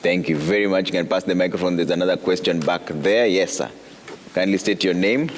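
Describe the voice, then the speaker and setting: A very deep-voiced man moderates a Q&A in a conference hall, briskly and politely calling on people from the floor. A faint room hum sits behind him.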